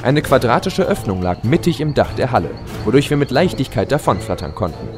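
A man's voice: medium pitch, fairly quick, music in the background, natural voice. A man playing an eager adventurous pony hero, expressively narrating his tale in an audio drama. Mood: storytelling. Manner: expressive, storytelling.

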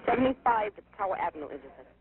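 A man's voice: high-pitched, very animated, rapid-fire. A man working as a fire dispatcher over two-way radio, giving clipped, procedural announcements and repeating messages back. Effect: two-way radio transmission. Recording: dull, lo-fi radio scanner audio, faint noise.

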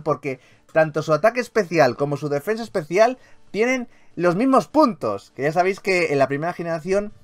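A man with an accent from Spain doing casual game commentary as a YouTube host, enthusiastic, playfully grandiose and freely swearing. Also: fairly high pitch. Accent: Spanish from Spain.

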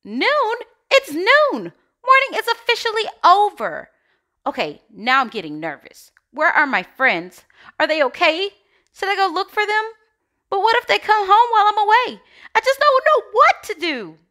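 A woman plays an anxious, bossy egg from a storybook. The voice is high and bright, with big dramatic swings as it frets and gives orders. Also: sing-song, moderate pace, exaggerated voice. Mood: nervous, frantic. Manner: dramatic, exclaiming, fretful. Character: Shell, anxious bossy egg rallying missing friends. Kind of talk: storybook character read-aloud.

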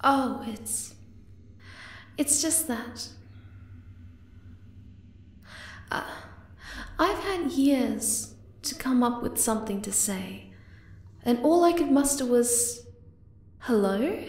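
A woman voicing a shy, flustered young moth-girl lover in an ASMR roleplay, hesitant, tender and soft-spoken. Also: sits high, animated, takes it slowly, pauses often, echoey room, heavily acted. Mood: awkward, self-chiding.

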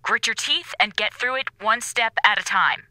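A woman's voice: on the high side, normal speed, noisy background, close to an everyday voice. A woman playing a stern, no-nonsense space station commander in an audio drama, clipped and authoritative, with an exasperated edge. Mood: firm.

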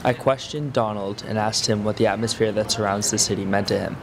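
A man's voice: on the low side, steady tone, fairly quick, crowd noise. A young man reading an earnest, formal scripted narration for a student documentary. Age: young adult. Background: music.

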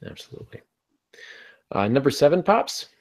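A man's voice: a little high, sing-song, moderate pace. A middle-aged man hosting a casual podcast discussion about writing over a video call. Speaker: podcast host. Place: small home room, indoors. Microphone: earbud headset on a video call.

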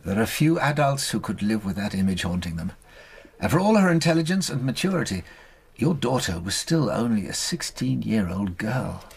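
An actor playing an earnest, compassionate British gentleman doctor in a radio drama, speaking in a measured way. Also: lowish voice, big pitch swings, gravelly, breathy edge, full character voice. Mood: solemn. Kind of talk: BBC radio drama acting.

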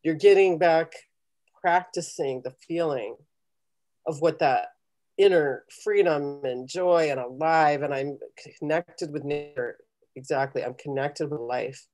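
Low, mellow middle-aged woman. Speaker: guest leading a guided meditation. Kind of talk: conversational coaching and guided meditation. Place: small home room, indoors. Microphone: computer video call.

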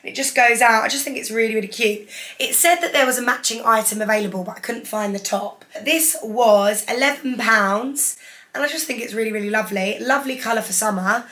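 A young woman in her twenties, hoarse from losing her voice, chatting enthusiastically through a clothing haul in her living room. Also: on the high side, fairly quick, sharp tone. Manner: chatty, enthusiastic, holds up each item. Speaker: fashion vlogger. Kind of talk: casual haul vlog. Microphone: camera in front, seated.

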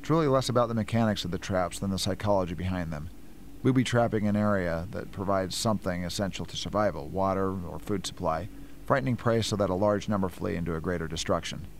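Man as brainy measured inventor guy, radio play, kinda low voice, little background noise. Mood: explaining. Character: cerebral, measured male scientist and weapons inventor. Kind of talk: amateur radio play acting.

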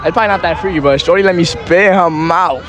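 A man's voice: on the high side, fast talker, a bit bright, a little hiss or hum. A young man giving hesitant, embarrassed answers at a night street interview, speaking into a phone held up to him. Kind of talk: casual street chat. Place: city street at night, outdoors.

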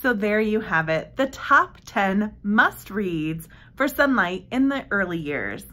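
Female presenter, 30s to 40s, unhurried and deliberate delivery, medium pitch, emphatic phrasing. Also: sing-song, pushed and bright. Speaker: presenter for a homeschool curriculum blog. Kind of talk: book recommendation walk-through to camera.

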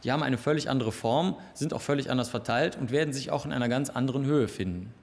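A man's voice: medium pitch, fast talker, vocal fry, big-room sound. A man in his thirties, a forensic expert, explaining blood patterns precisely in an interview in a lab. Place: indoor lab.

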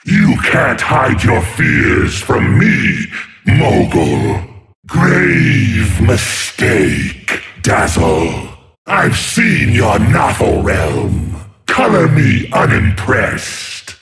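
An actor voicing a monstrous tyrant of the deep sea in a video game, with a gravelly voice and a theatrical, taunting, imperious delivery. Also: male voice, wildly swooping pitch, extremely slow, fairly bright tone, exaggerated voice. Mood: contemptuous. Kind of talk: video game character voice lines.

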